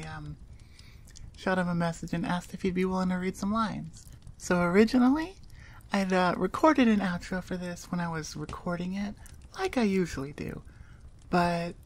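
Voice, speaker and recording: A woman chatting informally to her listeners as an audio creator, candid and rambling. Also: lowish voice. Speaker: ASMR voice actor and channel creator. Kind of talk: casual outro chat to listeners.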